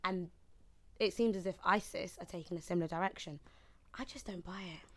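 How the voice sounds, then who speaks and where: A woman's voice: lowish voice, a little fast, fairly bright tone, no room sound. A woman co-hosting a podcast, asking questions and giving earnest opinions in casual chat. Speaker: podcast co-host.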